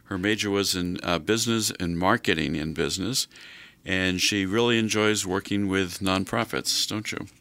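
An older man hosting a radio talk show, chatting slowly in a folksy way with many fillers. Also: low-pitched, gravelly, sharp tone, dry recording.

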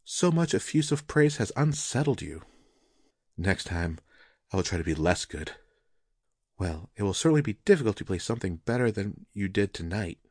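A man voicing a gentle, devoted wife in a story, with soft, measured and formal speech. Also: fairly low pitch, very animated, no room sound, studio quality. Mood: teasing, reassuring. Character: gentle, devoted wife of a Japanese musician, proud and concerned. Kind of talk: audiobook character dialogue.

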